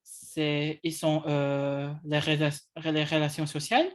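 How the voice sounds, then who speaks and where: A man's voice: a little high, completely flat delivery, slow pace, clear, resonant tone. A man whose first languages are English and Indonesian, co-hosting a casual discussion and often restarting his phrases. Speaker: co-host.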